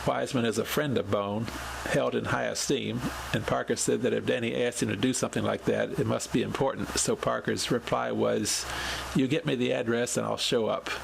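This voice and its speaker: A man reading a scripted account as a podcast host, in a measured storytelling delivery. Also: mid-range voice, fairly even intonation, gravelly, background noise.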